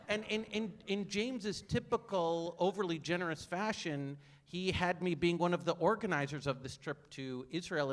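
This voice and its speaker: Self-deprecating man, halting with fillers. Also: a little high, a bit bright. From United States, raised in Saudi Arabia. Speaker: guest speaker, academic. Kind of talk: conversation before an audience.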